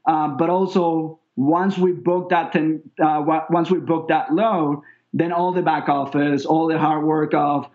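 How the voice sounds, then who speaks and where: A man's voice: a little high, steady tone, measured pace, muffled recording. A man from Venezuela with a Venezuelan Spanish accent, explaining things conversationally as an interview guest. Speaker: company founder, interview guest.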